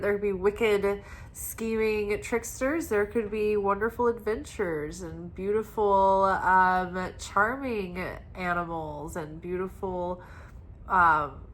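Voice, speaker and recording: A woman tarot reader talks slowly in a slightly low voice, stuffed up and congested from crying. Her manner is soft and meandering, drifting through spiritual talk. A faint hum of room noise sits behind her. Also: low-quality recording. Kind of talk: weekly tarot reading talk.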